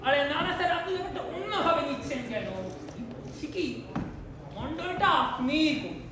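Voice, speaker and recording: A man playing a meek, squeamish gentleman, protesting apologetically in an amateur stage comedy. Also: extremely high pitch, big pitch swings, moderate pace, a crowd in the background. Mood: apologetic, protesting. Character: meek, squeamish middle-class gentleman reluctant to swear. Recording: echoey hall, distant mic, clear noise.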